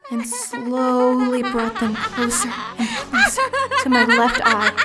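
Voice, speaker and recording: Woman acting terrified female victim, higher-pitched voice. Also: big pitch swings, slow pace, far from the mic, over loud music, heavily acted. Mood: panicked, anguished. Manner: anguished, intense, theatrical delivery. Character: terrified female murder victim recounting her horrific death. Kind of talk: dramatic horror game dub narration.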